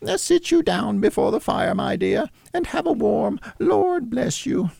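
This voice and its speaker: A man voicing a bustling, warm-hearted working-class mother in a storybook, fussy and affectionate as she exclaims. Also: high voice, very animated, measured pace, creaky, breathy edge, no room sound, voice pushed up, full character voice. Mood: fondly fussing. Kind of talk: storybook reading of a Victorian tale.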